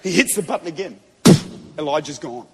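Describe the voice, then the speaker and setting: A man preaching a Pentecostal sermon to a congregation in a large church, fervent and building to shouted declarations. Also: a little high, expressive, fairly quick, slightly rough, soft background music. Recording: long reverb of a big hall.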